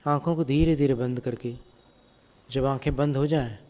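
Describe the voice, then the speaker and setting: A man guiding a meditation as a spiritual teacher, giving calm, gentle, step-by-step instructions. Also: medium pitch, normal speed. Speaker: Jain monk and meditation teacher.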